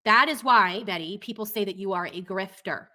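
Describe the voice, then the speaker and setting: A woman's voice: mid-range voice, moderate pace, pushed and bright. A woman hosting casual commentary over clips, sarcastic and skeptical, drawing out her points. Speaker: commentary channel host.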